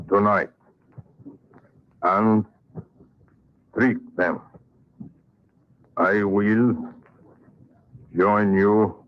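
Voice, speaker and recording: A man playing a gloating, mock-polite Spanish villain with a Spanish accent in an old-time radio western. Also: lowish voice. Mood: commanding. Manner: slow, mock-polite, chuckling menace. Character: gloating Spanish villain, a menacing Don who relishes torture. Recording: muffled vintage radio recording.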